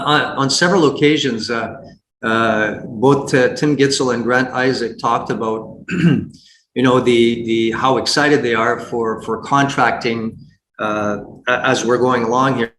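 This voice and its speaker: A man giving unscripted investment commentary as a guest, speaking haltingly with frequent repeats and false starts. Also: medium pitch, steady tone, a bit bright. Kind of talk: unscripted earnings call commentary. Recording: roomy echo, faint background noise.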